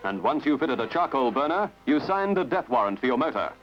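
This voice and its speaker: A man narrates a scripted newsreel in a dramatic, measured, slightly wry way. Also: mid-range voice, very animated. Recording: dull lo-fi archival film soundtrack with music. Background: prominent music.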